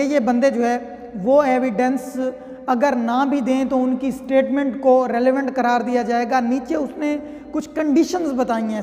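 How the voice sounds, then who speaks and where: A Pakistani man speaks in a strikingly high voice, explaining material as a lecturer would to students. His delivery is conversational and instructive. The sound carries a long reverb, as in a large hall. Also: darkish tone. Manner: conversational, reads clauses then explains them. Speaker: law lecturer. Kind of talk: explanatory lecture with worked examples.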